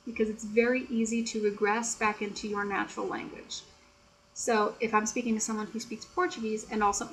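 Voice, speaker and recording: An American woman chatting softly and explaining things in a casual, teacherly way, with frequent "you know". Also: fairly high pitch, slight background noise, low-quality recording. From United States. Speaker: ASMR creator and English tutor. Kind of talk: soft-spoken ASMR chat.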